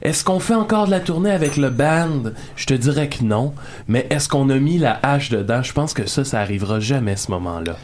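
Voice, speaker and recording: A man's voice: medium pitch, lively intonation, brisk, a little mellow, a little hiss or hum, natural voice. A man playing a pompous Québécois lounge singer. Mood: mock-solemn. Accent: Québécois French. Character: Alain Couture, a washed-up middle-aged male lounge singer, self-serious. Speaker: guest.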